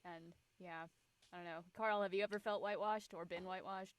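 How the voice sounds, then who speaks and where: A woman's voice: on the low side, fairly even intonation, fairly quick. A woman chatting casually on a panel, phrasing her thoughts loosely with many filler likes. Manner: conversational, heavy use of "like". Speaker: panelist in a post-show cast discussion. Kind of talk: casual chat.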